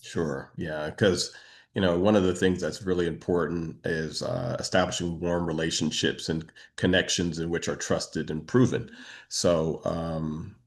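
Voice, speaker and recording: Deep-voiced man hosting a business meetup. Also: fairly even intonation, a little slow. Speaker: meetup host and moderator. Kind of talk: casual business networking talk over video call. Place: online video meeting.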